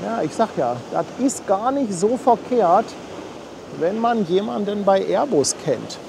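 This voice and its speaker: A man's voice: high voice, expressive, unhurried, vocal fry, noisy background. A man hosting a casual live stream from outdoors at a harbor, chatting and thanking viewers as he reads out their messages. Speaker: livestream host.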